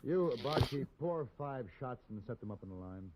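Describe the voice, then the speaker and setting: An actor playing a calm, polished gentleman gunfighter in a 1950s radio drama, speaking in a measured, commanding way. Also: medium pitch, lightly acted. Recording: muffled old radio recording with clear hiss.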